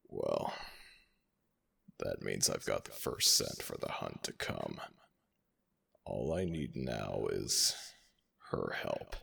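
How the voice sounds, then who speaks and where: A man voicing an ancient male jackal god who is proud and teasing, in a husky voice with slow, formal speech, for an ASMR audio roleplay. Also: very deep voice, sharp tone, full character voice. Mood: musing. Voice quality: gravelly, husky. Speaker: voice actor.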